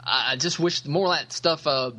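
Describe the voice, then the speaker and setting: A man co-hosting a podcast, chatting casually in a halting, informal, folksy manner. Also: on the high side, sharp tone. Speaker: podcast co-host. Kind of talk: casual chat.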